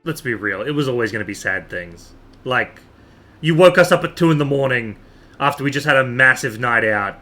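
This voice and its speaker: A man's voice: medium pitch, lively intonation, brisk, bright, forceful tone. A man chatting casually as a game livestreamer, rambling and repeating himself for emphasis.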